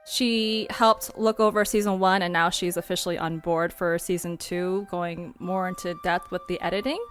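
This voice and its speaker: A woman's voice: fairly low pitch, fairly even intonation, normal speed, fairly bright tone, faint music behind. A woman who writes for a podcast, chatting casually in conversation. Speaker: podcast writer and chat participant. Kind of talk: casual chat.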